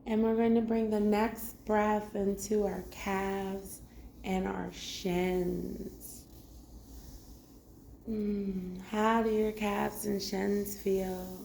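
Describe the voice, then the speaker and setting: A woman guides a meditation at a very slow, gentle pace, leaving long pauses between instructions. Her voice is soft-edged and mellow at a medium pitch. It sounds like a roomy, echoing space, with music playing behind her. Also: level tone, creaky, a little hiss or hum. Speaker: meditation teacher. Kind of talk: guided body scan meditation. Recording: roomy echo.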